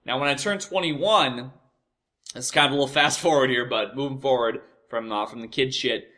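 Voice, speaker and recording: Bright-voiced American man rambling casually. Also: medium pitch. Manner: profane, repetitive, halting and self-correcting. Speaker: host of a personal storytelling show. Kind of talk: casual rambling personal storytelling.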